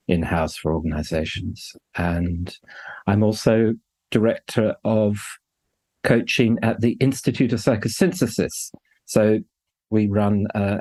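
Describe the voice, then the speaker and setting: A man's voice: sits low, a little mellow, no room sound. A man in his sixties, a coach trainer, introducing himself thoughtfully on a podcast.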